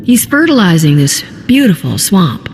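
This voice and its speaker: A woman's voice: on the low side, sing-song, moderate pace, a little mellow, slight background noise. A woman playing a tough, confident smuggler captain in a video game, blunt and wry. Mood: wry, frank.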